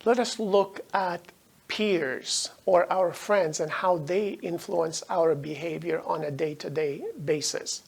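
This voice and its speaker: A middle-aged man from Afghanistan, a native Dari speaker, giving a measured, explanatory recorded lecture as a professor. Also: on the high side, steady tone, gravelly, slightly rough.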